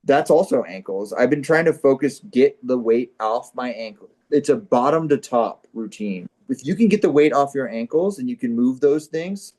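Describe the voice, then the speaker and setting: Deluded middle-aged male actor, played by a man, mid-pitched, hesitant, defensively boastful, comedy dialogue. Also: expressive, normal speed, few pauses, a little mellow, close to an everyday voice. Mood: earnest self-justifying. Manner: hesitant, rambling, earnestly self-justifying. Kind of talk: improvised-sounding comic dialogue.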